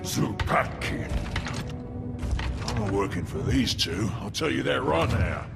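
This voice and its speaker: An actor playing a smug, brutish orc rival in a video game with a gravelly voice. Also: male voice, fairly low pitch, big pitch swings, a little slow, music in the background, heavily acted. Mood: defiant. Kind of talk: video game character acting.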